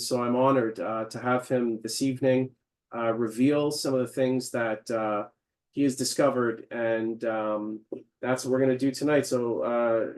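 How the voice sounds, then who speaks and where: A man hosting an interview over a video call, introducing his guest in a wordy, effusive way. He leans on fillers as he talks. His voice sits at an ordinary middle pitch. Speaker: interview host.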